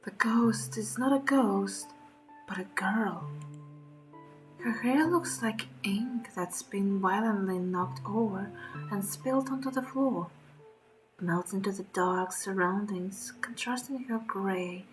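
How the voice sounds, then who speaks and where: A woman voicing a frightened, defensive young beast pup, telling a horror game story in dramatic first person with hushed, breathy endings. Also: medium pitch, takes it slowly, over music, natural voice, low-quality recording. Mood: fearful, wary.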